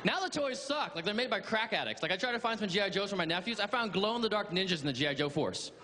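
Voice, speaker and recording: High-voiced energetic stand-up comic. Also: male voice. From born in Vietnam, raised in America. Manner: energetic, fast riffing with laughs. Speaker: stand-up comedian. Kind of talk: stand-up comedy set. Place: comedy stage before a live audience. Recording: loud crowd and room noise.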